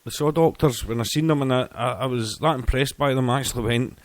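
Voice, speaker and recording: A man's voice: mid-range voice, fast talker, no echo. A man chatting casually as an internet radio DJ between songs, rambling and stumbling over his words.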